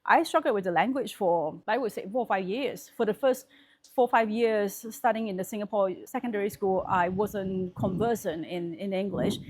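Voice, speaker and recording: A woman's voice: medium pitch, moderate pace, breathy edge, poor audio. A woman in her forties, born in Malaysia with Chinese as her first language, talking frankly and casually to camera as a property and wealth vlogger. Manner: frank and conversational, thinking aloud.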